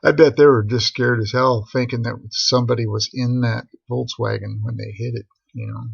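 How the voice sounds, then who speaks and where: Middle-aged male storyteller, laid-back and slangy, gravel in the voice, dull lo-fi stream sound. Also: medium pitch, fairly even intonation, normal speed, no echo. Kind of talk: casual rambling personal anecdote. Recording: dull, boxy lo-fi live stream audio.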